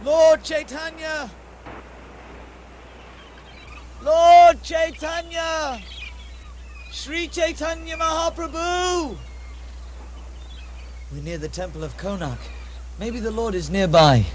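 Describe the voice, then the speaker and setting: A man's voice: extremely high pitch, slow pace, long pauses, a little hiss or hum. A man playing an earnest, worried devotee leading a search in a puppet show, urgently calling out.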